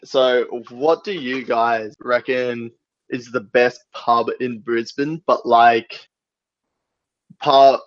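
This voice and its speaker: A man's voice: mid-range voice, no room sound, lo-fi sound. An Australian man bantering casually as a podcast guest, with slangy, sweary talk. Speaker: band member and podcast guest.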